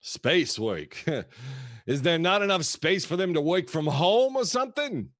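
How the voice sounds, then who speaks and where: A man's voice: a little high, sing-song, unhurried, a bit bright, no echo, studio quality. An actor playing a kooky, affectionate old grandfather who rambles and mutters theatrically in a comic play. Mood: flustered, genial. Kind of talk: comic stage monologue and dialogue.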